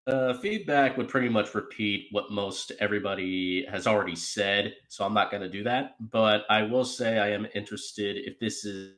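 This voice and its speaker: Male, lower-pitched, informal participant voice giving concise feedback on a call. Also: steady tone, normal speed, few pauses, fairly bright tone. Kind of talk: brief casual feedback.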